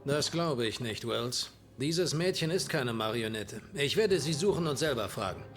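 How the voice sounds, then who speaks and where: An actor playing a cocky, defiant American soldier with a wry manner in a war game cutscene. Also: male voice, mid-range voice, lively intonation, normal speed, soft background music, close to an everyday voice. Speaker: video game character in cutscenes. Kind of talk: dramatic game cutscene dialogue.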